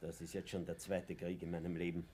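An actor playing a humble, stubborn old postal worker in a radio play, colloquial and plaintive, with an Austrian accent. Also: male voice, low voice, little pitch movement, fairly quick, warm tone, slightly rough. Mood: weary, prayerful. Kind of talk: German-language radio play acting.

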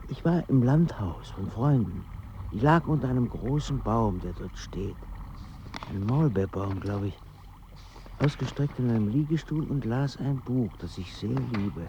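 An actor in a German radio drama playing a confused, fussy middle-class intellectual, with a pedantic, hesitant and formal manner. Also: male voice, medium pitch, dark tone. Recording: dull sound with faint ambient noise.

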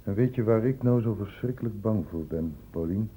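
An actor playing a grave, authoritative male doctor in a radio drama, speaking formally and deliberately. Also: lowish voice, warm tone, lightly acted. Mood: grave, concerned. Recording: narrow, noisy old radio recording.

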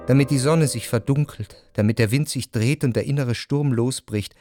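An actor playing a jealous gentleman narrating a literary radio play. His voice is medium in pitch, introspective and measured, with faint music underneath. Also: lightly acted. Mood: brooding, anxious. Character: jealous, brooding gentleman recalling suspicions in love.

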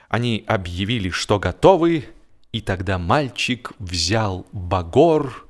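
A man narrating a humorous literary story in an expressive, theatrical way, with deliberate drawn-out pauses. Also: mid-range voice. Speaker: audiobook narrator. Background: music.

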